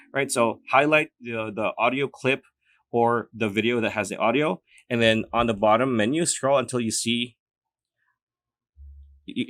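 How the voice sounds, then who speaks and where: A man's voice: on the low side, a bit bright, no echo. A man narrating a casual step-by-step screen tutorial in an informal way, often checking in with the listener, as a close voice-over. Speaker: tutorial host.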